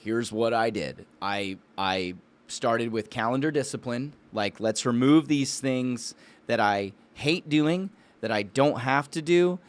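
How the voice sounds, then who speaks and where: A man's voice: mid-range voice, slow. A man chats as a podcast co-host in a mid-range voice. He talks informally, like someone telling a story to a buddy. The style is loose, conversational and a bit bro-ish. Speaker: podcast co-host and business owner. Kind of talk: casual conversational podcast talk.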